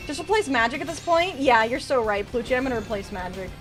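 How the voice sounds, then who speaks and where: A young woman streaming a game, chatting with her viewers and cheering loudly through the races, though her voice is growing worn. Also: high voice, lively intonation, quick pace, faint music behind. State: losing her voice. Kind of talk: live game stream commentary with chat.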